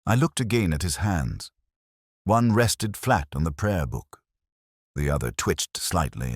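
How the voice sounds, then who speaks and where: A man playing a brooding, melancholy police inspector, narrating his notebook in measured, reflective, literary prose. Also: low voice, no room sound, clean studio sound, full character voice. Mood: reflective, sombre. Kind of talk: literary first-person scripted narration.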